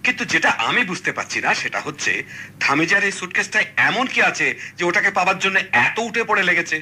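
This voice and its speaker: An alarmed, excitable client. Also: male voice, high voice, brisk, heavily acted. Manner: excitable and worried. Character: anxious older male client, easily alarmed. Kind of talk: 1970s radio drama acting. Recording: old radio broadcast with clear hiss.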